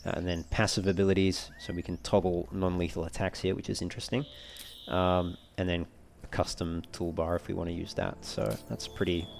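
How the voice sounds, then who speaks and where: Male let's player, low-pitched, talking casually through a game and reasoning aloud as he goes. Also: brisk, gravelly. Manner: thinks aloud while reading menus. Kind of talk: casual gameplay walkthrough commentary.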